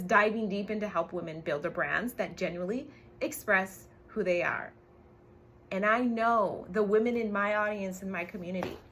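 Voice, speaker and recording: A woman's voice: mid-range voice, a bit bright, a little hiss or hum. A woman coach giving an emphatic motivational livestream talk, swearing casually and often checking in with short tag questions. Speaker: brand coach and business co-owner.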